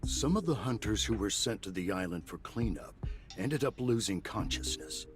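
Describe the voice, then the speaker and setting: A man playing a polite, businesslike official, speaking in a measured, formal way in a game cutscene. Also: fairly low pitch, lively intonation, over music, lightly acted. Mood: polite, inquiring. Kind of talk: video game cutscene acting.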